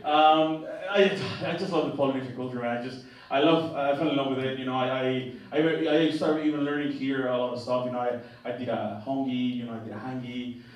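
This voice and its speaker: A man's voice: mid-range voice, steady tone, moderate pace. A young man with a Mexican accent doing a stand-up set on a theatre stage, chatty and loose, stumbling over restarts and laughing at his own jokes. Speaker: stand-up comedian. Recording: echoey hall, distant mic, noisy.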